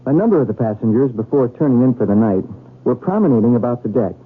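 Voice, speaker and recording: An actor playing a polite, earnest young graduate student in a radio drama, deferential and precise, with a husky voice. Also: male voice, medium pitch, animated, quick pace. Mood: earnest, reading aloud. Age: young adult. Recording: tinny, narrowband old radio broadcast.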